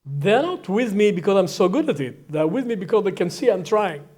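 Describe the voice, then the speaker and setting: A middle-aged Hebrew-speaking man with an Israeli accent, teaching empathy in short, deliberate statements that pause for an interpreter. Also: high voice, sing-song, a little mellow. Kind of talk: lecture with live interpreter.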